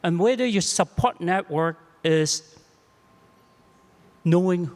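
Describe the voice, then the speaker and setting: A man in his sixties with a Chinese accent, a clinician on a panel, speaking in a halting, humble and reflective way, often repeating words. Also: sits high, a little mellow, long reverb tail. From China. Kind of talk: panel discussion.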